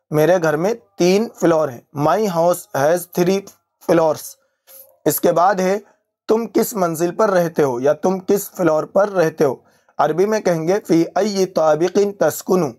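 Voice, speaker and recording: A man with an Urdu-Hindustani accent teaching Arabic phrases. He addresses his viewers with formal politeness, says each phrase slowly and repeats it word by word. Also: a little high, darkish tone.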